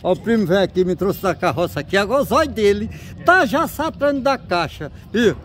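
A man's voice: high voice, sing-song, a little fast, fairly bright tone. A man with a Northeastern Brazilian accent chatting casually and teasingly as he films outdoors, full of slangy exclamations. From Brazil, interior of Pernambuco. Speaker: amateur vlogger filming a street market. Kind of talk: casual chat while filming. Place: outdoor street market and town streets. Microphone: handheld phone, speaker behind camera. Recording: noisy outdoor street, crowd and vehicles. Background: market crowd, street noise, vehicles.

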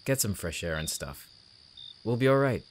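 An actor playing a devoted, heartbroken young man in an emotional audio drama, speaking earnestly and haltingly. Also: fairly low pitch, sing-song, a little hiss or hum. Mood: soft, wistful.

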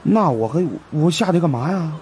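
A man's voice: fairly high pitch, animated, a little fast, darkish tone, close to an everyday voice. A man voicing a fat, greedy, superstitious investigator who chats in a hesitant, grumbling, colloquial way in an audiobook. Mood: grumbling, wary. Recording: faint background noise.

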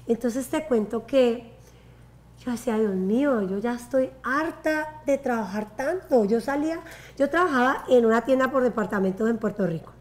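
A woman's voice: a little high, moderate pace. A woman in her forties from Colombia, speaking Spanish with a Colombian accent, telling her life story as a guest in a casual interview, talking with her hands. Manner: animated, gestures with her hands. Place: bright living room with a sofa and water view.

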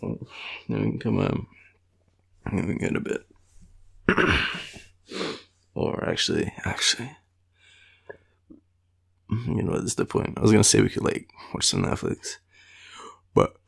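A tired young Australian man rambling in an intimate boyfriend roleplay, with a husky, gravelly voice and a playful, teasing, halting manner. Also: fairly low pitch, wildly swooping pitch. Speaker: boyfriend roleplay audio performer.

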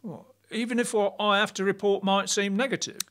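A woman's voice: mid-range voice, steady tone, a little fast, natural voice. A woman playing a hesitant, eager-to-please male night watchman in a comic audio play. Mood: doubtful, hesitant. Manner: unsure, questioning, easily led.

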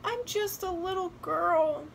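Lady doing a sweet little girl for a storybook, high voice, kinda plaintive and childlike, slow pace. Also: very animated, a bit bright, slight background noise, full character voice. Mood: tearful. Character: gentle, obliging little girl, easily worn out.

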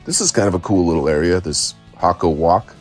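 Low-voiced man narrating a casual travel vlog. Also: fairly quick, faint music behind. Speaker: travel vlogger. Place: outdoors on town streets and beach. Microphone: phone at arm's length.

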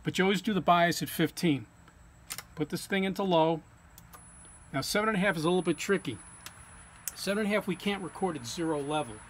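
A man with a fairly high-set voice walks through a technical procedure. He is plain-spoken and informal, going one step at a time like a technician showing a learner. There is noticeable ambient noise behind him. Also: brisk, pauses often. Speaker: technician demonstrating equipment calibration. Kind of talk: informal hands-on tutorial. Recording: clear background hum or ambient noise.

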